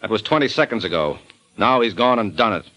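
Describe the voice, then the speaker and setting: A man voicing a tough, sardonic private detective from an old radio drama. He talks in a slightly low voice, trading wisecracks and needling suspects with dry confidence. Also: lively intonation, fairly quick, natural voice, poor audio. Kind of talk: 1940s radio drama acting.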